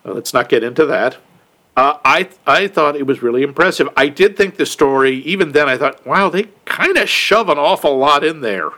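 A man's voice: on the high side, expressive, moderate pace, pushed and bright. Easygoing man giving a film opinion. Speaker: podcast co-host. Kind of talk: casual chat, film review.

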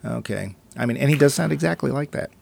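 A man's voice: mid-range voice, lively intonation, fast talker, vocal fry, a little mellow, dry recording. A man co-hosting a casual film review chat, joking and sarcastic as he talks. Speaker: film podcast co-host.

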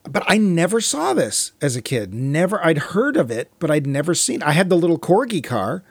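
A man's voice: fairly high pitch, very animated, normal speed, breathy edge, no echo. A middle-aged man chatting casually about film and TV as a co-host, with a wry, joking manner. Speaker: podcast co-host.

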